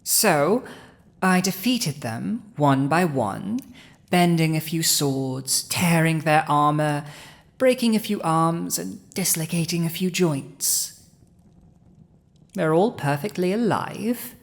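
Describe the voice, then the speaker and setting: A woman's voice: deep voice, lively intonation, full character voice. A woman playing a haughty, cunning dragon ruler in human form, smug and commanding, in a roleplay audio drama spoken to the listener. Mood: smug, matter-of-fact. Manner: smug, commanding, deliberate, with pauses.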